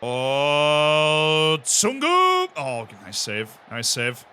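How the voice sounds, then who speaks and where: An American man live-streaming a game, commenting excitedly, shouting at big moments and cracking jokes in between. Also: on the high side, drawn-out words, clean tone. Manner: excitable, shouts at goals, jokey asides.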